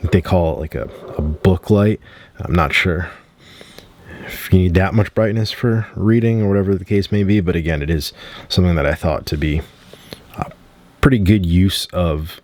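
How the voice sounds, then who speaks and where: A man giving a casual product review at home, loose and relaxed, often clearing his throat and using fillers. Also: low voice, no room sound.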